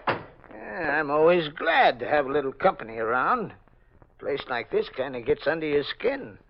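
A talkative American night watchman, gruff and folksy, with uneducated grammar. His highish voice jumps around in lively swings, in 1940s radio mystery style on a muffled old recording. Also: moderate pace, noisy background. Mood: chatty, eerie. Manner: folksy, grumbling, uneducated speech.

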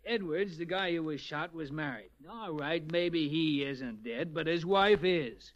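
A hard-boiled police sergeant played by a man in an old radio crime show. He bullies and barks orders in a high male voice, heard through a muffled 1940s recording. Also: a little slow, lightly acted. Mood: bullying. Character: tough, bullying male police sergeant grilling suspects.

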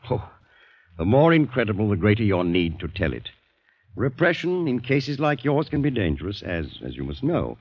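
A man's voice: on the low side, sing-song, moderate pace, slightly rough. A man with a British accent playing a solicitous, probing English doctor and veteran in a genteel, coaxing way, in 1940s radio drama style. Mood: probing, persuasive. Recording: dull old radio drama recording.

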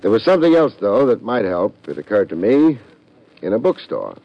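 A hard-boiled private eye who narrates with dry wisecracks, his low voice rising and falling in lively swings. This is a muffled vintage radio drama recording. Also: moderate pace, vocal fry, heavily acted. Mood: brooding. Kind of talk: 1940s radio drama acting.

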